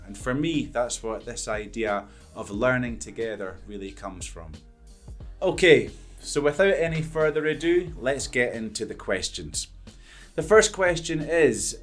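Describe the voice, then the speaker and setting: A Scottish man in his thirties, an English teacher, talking to camera at a studio mic in an upbeat, earnest, emphatic way. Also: medium pitch. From Scotland. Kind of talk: direct-to-camera talk answering questions. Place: indoors before a green-screen backdrop. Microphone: studio condenser mic in front.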